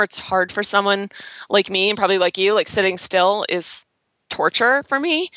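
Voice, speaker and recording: A woman in her thirties talks openly and reflectively as a podcast guest, with a bright, forceful tone. The sound is close and dry, with no room around it. She falls into filler words and small laughs as she thinks aloud. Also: mid-range voice, dry recording. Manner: earnest, self-reflective, laughs lightly, many "um" and "like". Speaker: interior designer and blogger, guest. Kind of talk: candid podcast interview chat.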